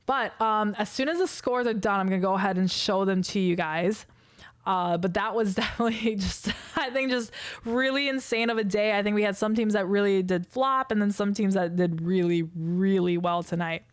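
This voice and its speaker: Hoarse woman casting an esports match. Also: mid-range voice, moderate pace, no echo, clean studio sound. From Wisconsin. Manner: rambling, chatty, reads viewer chat aloud. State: hoarse, losing her voice. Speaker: esports caster. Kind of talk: livestream esports commentary.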